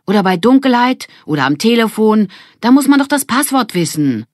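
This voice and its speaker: A woman's voice: a little high, big pitch swings, brisk, no room sound, studio quality, pitched above the performer's own voice. Woman playing bossy, imaginative girl gang leader. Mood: insistent. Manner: emphatic, conspiratorial.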